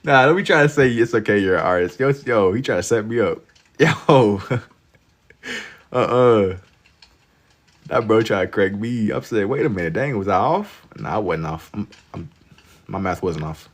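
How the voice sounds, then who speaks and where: A man in his twenties with a very deep voice hosts a livestream and chats with viewers. He talks in relaxed slang and turns loud and laughing when chat teases him. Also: moderate pace, long pauses. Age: 20s. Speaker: livestream host. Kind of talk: casual chat with viewers while drawing.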